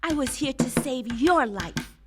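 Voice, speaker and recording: A woman's voice: high-pitched, expressive, measured pace, heavy background noise. A woman voicing a small, earnest, loyal mouse in a theatrical storybook radio drama. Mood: eager, loyal. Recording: loud music behind.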